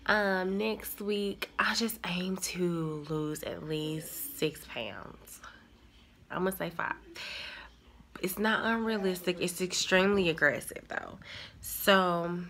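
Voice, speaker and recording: A chatty woman in her thirties talks into a phone held at arm's length, her voice a bit congested from a cold. Her tone is bright and forceful, set a little low. Faint room noise sits behind her in a home dining room. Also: takes it slowly. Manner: chatty, addresses viewers as y'all. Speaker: vlogger. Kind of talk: casual chat to camera. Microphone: handheld phone at arm's length.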